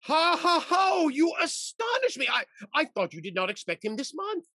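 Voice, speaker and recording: A man's voice: extremely high pitch, huge pitch swings, moderate pace, bright, forceful tone, dry recording, exaggerated voice. A man in his fifties or sixties playing an exasperated, doting old husband in a period stage comedy, theatrical and sputtering. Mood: astonished, delighted. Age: 50s to 60s. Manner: blustering, theatrical, exclamatory, sputtering. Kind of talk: period comedy stage acting over video call. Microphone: video call.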